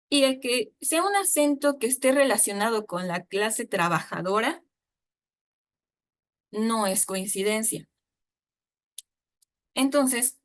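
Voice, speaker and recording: Woman lecturer, chatty, mid-pitched, informal, lots of asides. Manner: chatty, with frequent fillers and asides. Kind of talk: informal online talk on storytelling.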